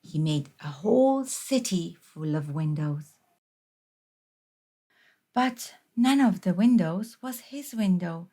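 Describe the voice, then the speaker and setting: A woman reading a children's picture book aloud as a storyteller, in a measured, expressive way. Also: on the low side. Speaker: storybook reader.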